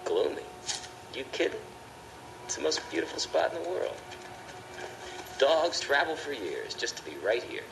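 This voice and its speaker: A man's voice: fairly high pitch, very animated, normal speed, vocal fry, pauses often, background noise, full character voice. A man voicing an earnest young male dog, a shy dreamer in love, in an amateur cartoon dub. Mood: dreamy, enthusiastic. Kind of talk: amateur fandub of an animated film. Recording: poor home recording, music behind.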